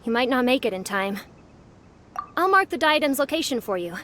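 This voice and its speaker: A woman's voice: high-pitched, very animated, a little fast, fairly bright tone, slight background noise. A woman playing an earnest young competition organizer in a video game, explaining things in an urgent, serious way. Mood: serious, explanatory.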